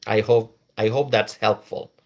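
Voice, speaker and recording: A man's voice: fairly low pitch, steady tone, unhurried. A man from Bangladesh hosting a casual live stream, reading viewers' comments aloud and repeating phrases for emphasis.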